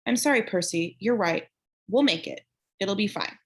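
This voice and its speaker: A woman's voice: medium pitch, lively intonation, moderate pace, lightly acted. A woman voicing a clever, practical young girl who speaks in a dry, matter-of-fact way. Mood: dry, earnest.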